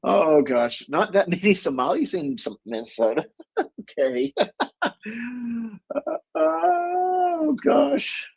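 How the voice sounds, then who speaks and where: A man's voice: very high-pitched, lively intonation, takes it slowly, gravelly, pauses often, a little mellow, slightly rough. A man co-hosting a casual show, summing up a movie with lots of fillers, restarts and laughs. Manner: rambling, many fillers and restarts; laughs often. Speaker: podcast co-host. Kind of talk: casual movie review chat.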